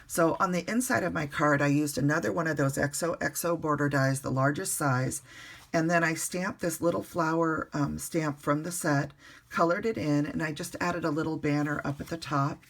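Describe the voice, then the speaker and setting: A woman recovering from the flu, her voice hoarse, chatting casually through a card-making tutorial at a desk in her bedroom with lots of ums and uhs. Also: sits low, fairly even intonation, moderate pace. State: hoarse, getting over the flu.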